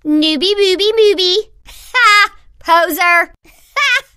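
A man's voice: very high voice, expressive, slow pace, pushed and bright, no room sound, studio quality, heavily acted. An actor voicing a gleeful male cartoon rainbow who taunts and insults the player in a video game. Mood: mocking. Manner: bratty, insulting, cackling.

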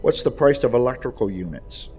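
A man giving a casual, halting, conversational gameplay demonstration. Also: medium pitch, animated, a little mellow. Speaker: creator demonstrating his voice pack. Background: music behind the voice.

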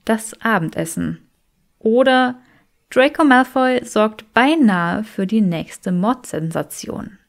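A woman's voice: on the high side, expressive, slow pace, gravelly. A woman reading scripted fiction aloud as an audiobook narrator.

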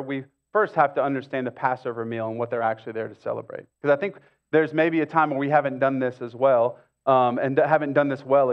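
A man's voice: fairly high pitch, highs cut off. A middle-aged American man, a pastor, giving a conversational sermon with casual asides and repeated phrases for emphasis. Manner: casual, with repetition and rhetorical asides. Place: stage of a church auditorium.

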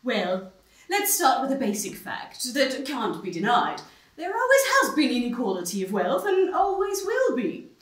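A woman's voice: high-pitched, huge pitch swings, normal speed. An actress playing an assertive, lecturing female debater on a TV show in a stage play, heard from a far mic in a small stone-walled theater. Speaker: debate guest. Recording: roomy, distant stage sound.

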